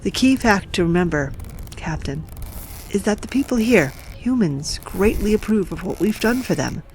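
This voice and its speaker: A woman playing an authoritative, coolly persuasive occupation official in a science fiction audio drama, formal and measured. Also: mid-range voice, expressive, a little mellow, slight background noise, natural voice. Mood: smooth, self-assured.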